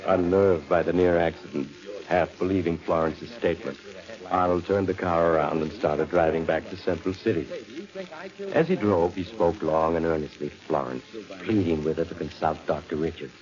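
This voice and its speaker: An actor playing an ominous narrator, reading scripted narration for a vintage radio suspense drama. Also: male voice, low-pitched, steady tone, moderate pace, a little mellow, lightly acted. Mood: grave. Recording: dull old radio recording.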